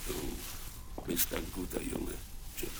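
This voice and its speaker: An actor playing a cunning, scheming pirate leader who talks slyly and barks gruff orders, on a crackly vinyl record. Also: male voice, takes it slowly, natural voice. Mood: confiding. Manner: sly and wheedling, bursting into gruff commands. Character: cunning scheming male pirate cook, one-legged leader. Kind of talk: 1970s radio play acting. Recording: crackly vinyl record with loud surface noise.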